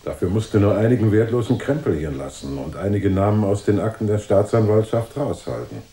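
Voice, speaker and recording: Shrewd cop, very deep voice, lively intonation, measured and knowing, noir radio play, roomy echo. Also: male voice. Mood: calm, firm. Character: shrewd, unruffled male police detective, quietly authoritative. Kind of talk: noir radio drama acting.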